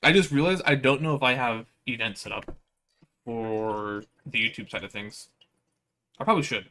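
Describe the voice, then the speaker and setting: A man's voice: medium pitch, normal speed, sharp tone. A man livestreaming with slangy, playful, rambling casual chat to his viewers. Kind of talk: casual livestream chat, reading visual novel aloud.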